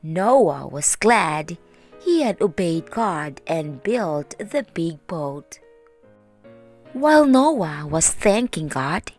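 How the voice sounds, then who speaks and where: A woman teacher narrating a children's Bible story in a slow, deliberate and expressive way. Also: medium pitch. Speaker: teacher reading stories for children. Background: soft background music.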